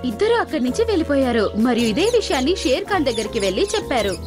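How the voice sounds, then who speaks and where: A woman narrating a scripted children's story in an expressive storytelling manner. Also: high-pitched, rapid-fire, over music.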